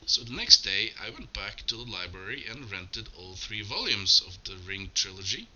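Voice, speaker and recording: A Norwegian man, Norwegian his first language, giving a slow, measured, solemn spoken tribute with small self-corrections. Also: lowish voice, expressive, crowd noise, rough recording. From Norway. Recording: clear music bed behind the voice.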